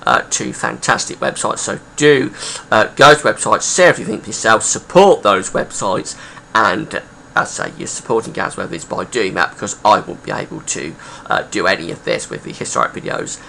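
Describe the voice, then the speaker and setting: A man with a croaky, gravelly voice, hosting a casual weather history video, chatty and full of pauses and fillers. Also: on the low side, pushed and bright, a little hiss or hum. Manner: chatty, rambling with many uh fillers.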